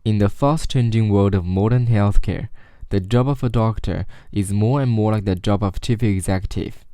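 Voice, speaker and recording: A man reading short study passages aloud in a measured, formal way. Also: on the low side, warm tone. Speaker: reader of practice passages. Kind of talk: scripted read-aloud of study texts.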